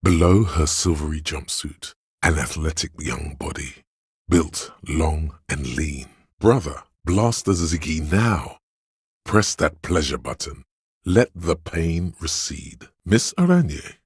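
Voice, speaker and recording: Very deep, husky seductive tempter. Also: male voice, slow, no echo, clean studio sound, heavily acted. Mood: lustful, savoring. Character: seductive, hedonistic inner voice of addiction and lust. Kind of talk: video game character narration.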